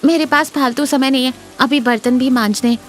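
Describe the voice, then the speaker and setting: A woman voicing a sharp-tongued village wife in a cartoon story, scolding and exasperated but secretly loving. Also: high voice, fairly even intonation, a little fast, soft background music, close to an everyday voice.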